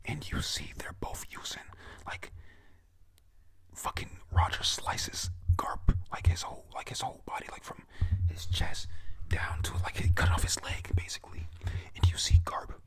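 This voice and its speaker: A narrator casually recapping a manga story in a whisper, swearing often and stumbling over words. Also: moderate pace.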